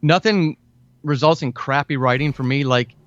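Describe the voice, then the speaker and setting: A man's voice: a little high, moderate pace, fairly bright tone. A man in his forties talking casually and earnestly as an interview guest, with an enthusiastic manner. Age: 40s. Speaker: guest, fiction and audio drama writer. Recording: dull, lo-fi band-limited audio.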